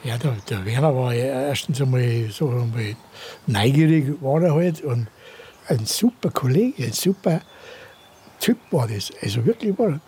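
A man in his eighties with a Bavarian accent, reminiscing casually in halting, plain-spoken, repetitive phrases. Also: medium pitch, lively intonation, mellow, husky. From rural Bavaria, Germany. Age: 80s. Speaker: interviewee, local villager.

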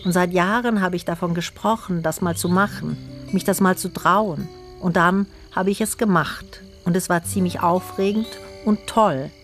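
A woman reads her own reflective radio feature script, calm and personal, often posing questions. Clear music plays underneath her voice throughout. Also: on the low side, normal speed. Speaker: radio feature author and narrator. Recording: studio narration over music.

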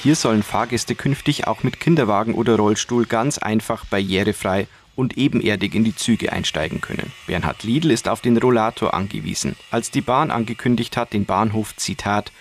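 A man's voice: on the low side, moderate pace, hardly any pauses, a little hiss or hum. A man narrating a scripted radio news report as a reporter.

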